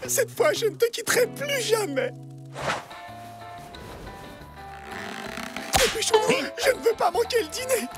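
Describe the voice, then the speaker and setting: An actor voicing a naive, excitable wild boar in a cartoon, earnest and whiny in manner. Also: very high voice, brisk, over loud music. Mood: eager. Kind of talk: cartoon voice acting.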